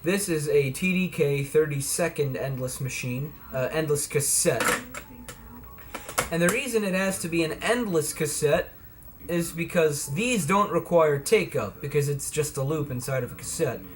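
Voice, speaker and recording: A man's voice: fairly high pitch, expressive, normal speed, slight background noise. A man giving a casual, folksy and playful product demonstration in a homemade video. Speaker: vlogger presenting vintage electronics.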